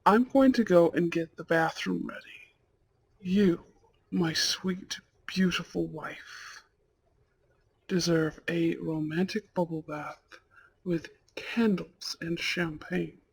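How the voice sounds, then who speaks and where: Man playing a husky, slow-spoken devoted mafia husband. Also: fairly high pitch, heavily acted, low-quality recording. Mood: tender, loving. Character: devoted, tender mafia heir, newlywed husband and anxious father-to-be. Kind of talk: romantic ASMR audio roleplay.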